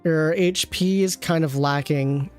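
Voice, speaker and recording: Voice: medium pitch, unhurried, a little mellow. Streamer muttering through game menus over music. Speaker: streamer, game account reviewer. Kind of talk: casual gameplay commentary, thinking aloud.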